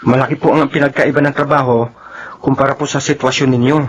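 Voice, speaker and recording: An actor playing an earnest, caring young son in a Filipino radio drama, with a Filipino accent. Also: male voice, mid-range voice, steady tone, fast, lo-fi sound, natural voice. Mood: concerned, earnest. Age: young adult. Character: earnest young son, worried for his father.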